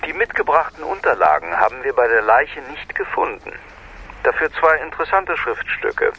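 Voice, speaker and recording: Insistent police investigator, sharp bright voice, formal and precise, radio drama, some background noise. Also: male voice, medium pitch, big pitch swings, moderate pace, dull audio. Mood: businesslike. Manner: formal, precise, official briefing. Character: businesslike, insistent male senior police investigator.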